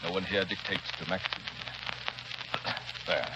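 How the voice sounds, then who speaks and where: An actor playing a sinister, controlling rich man who talks smoothly and insists on taking charge, in 1930s radio drama style. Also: deep voice, steady tone, measured pace. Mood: menacing. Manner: smooth, domineering, overly solicitous. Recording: muffled old radio broadcast with hiss.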